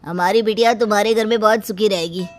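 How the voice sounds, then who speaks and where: A woman's voice: medium pitch, fairly even intonation, fast, natural voice. A woman playing a threatening, commanding village parent in a cartoon story. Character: menacing village parent forcing a marriage. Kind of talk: Hindi cartoon story voice acting.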